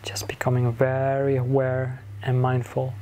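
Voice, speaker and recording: A man leading a guided meditation in a soothing manner, giving gentle instructions in short phrases with long pauses between them. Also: medium pitch, monotone. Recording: clear background noise, ambient sound.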